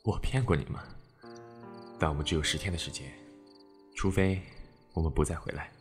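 A man's voice: extremely low pitch, moderate pace, faint music behind. An actor playing a guarded, aloof but devoted spirit man in a Chinese costume audio drama, cool and restrained with dry teasing.

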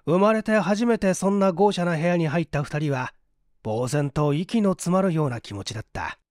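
Man, dramatic story narration, lively intonation, dry studio-like sound. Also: on the high side, normal speed, no echo. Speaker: audiobook narrator, stage actor. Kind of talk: dramatic scripted story reading.

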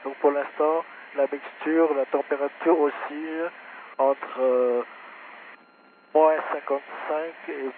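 A man's voice: on the high side, fairly even intonation, unhurried. A man piloting a plane, talking in short cockpit remarks and radio readbacks. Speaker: private pilot. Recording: narrow cockpit intercom audio, faint noise.

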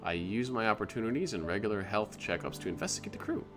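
A man's voice: on the low side, big pitch swings, fast talker, over music. A man voicing a troubled male Russian space station scientist with a clumsy put-on Russian accent, reading his logs aloud. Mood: uneasy.

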